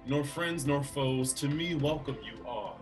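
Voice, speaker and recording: A man's voice: medium pitch, unhurried, recorded from a distance, over music, lightly acted. A man in a video-call Shakespeare reading, playing a weary older uncle who resigns himself to his weakness. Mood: resigned. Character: weary elderly uncle, powerless regent. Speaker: actor. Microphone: video call webcam.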